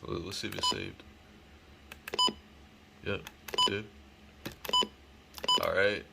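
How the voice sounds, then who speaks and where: A man with a fairly low, creaky voice presents a casual device demo. He talks informally, as a hobbyist hosting his own video. The recording is heavily noisy. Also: fairly even intonation, slow pace. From United States. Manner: informal, occasionally swearing. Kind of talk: casual unboxing and setup demo.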